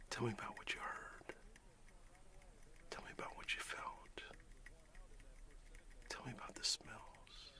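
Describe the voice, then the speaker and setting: A man playing a warm, excited father who talks affectionately and in a folksy way to his young son in a breathy whispered ASMR roleplay. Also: mid-range voice, lightly acted. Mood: wistful, tender. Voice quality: breathy whisper with gravelly creak. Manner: unhurried, folksy, affectionate, with long pauses.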